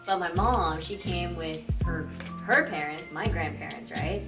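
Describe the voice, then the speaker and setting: A woman's voice: lowish voice, a little slow. A young woman telling family stories casually over dinner, easygoing with many fillers. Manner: relaxed storytelling with fillers. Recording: roomy, distant mic, loud music under.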